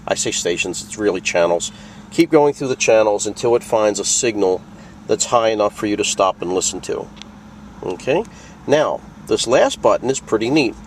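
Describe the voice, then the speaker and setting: A man's voice: lowish voice, lively intonation, normal speed, a little hiss or hum. A man presenting a product tutorial in a casual, plain-spoken, conversational way, walking through things step by step. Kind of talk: casual instructional walkthrough.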